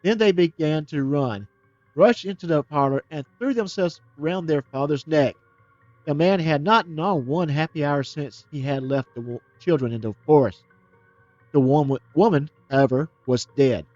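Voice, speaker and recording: Expressive male storybook reader. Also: on the high side, moderate pace, no echo, dull audio, faint music behind. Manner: expressive, with small slips and restarts. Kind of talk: storybook reading.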